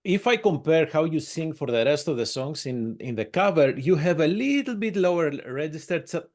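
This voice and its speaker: A man hosting a casual interview over a video call, with a voice a bit higher than most men's. He asks friendly, halting questions and fills the gaps with "uh". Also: animated, a little mellow. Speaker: podcast interviewer.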